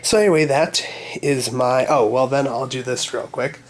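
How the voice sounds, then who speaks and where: A man with a cold, sounding congested, talking through an unboxing at home in a halting, unscripted way with many pauses and fillers. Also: mid-range voice. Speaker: vacuum hobbyist doing an unboxing.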